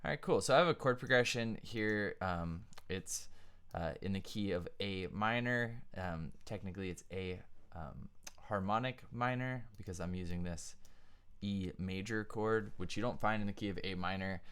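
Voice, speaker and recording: Low-voiced man with a smooth, clear tone. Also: normal speed. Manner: relaxed, frequent ums and uhs, thinking aloud. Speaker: music production tutor. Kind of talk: casual screen-share tutorial.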